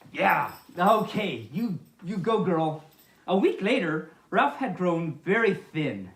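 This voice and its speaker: A woman reads a children's picture book in a lively, swooping voice. She keeps drifting into chatty, halting commentary of her own, playful throughout. The room rings with echo. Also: fairly low pitch. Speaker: storyteller, host. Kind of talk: reading a picture book aloud with casual asides. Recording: echoey, reverberant room sound.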